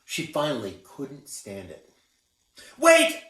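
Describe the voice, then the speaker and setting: A man reading a picture book aloud to children as a teacher, expressive and encouraging, pointing out the pictures. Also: mid-range voice, slow pace, distant mic. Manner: expressive, encouraging storyteller who shows pictures. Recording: echoey room.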